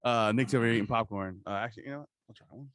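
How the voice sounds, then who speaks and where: A man's voice: medium pitch, racing, a bit bright, clean tone, dry recording, studio quality. An American man co-hosting a podcast in casual, comedic chat, rambling along with plenty of swearing and slang. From New Jersey, USA. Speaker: podcast co-host.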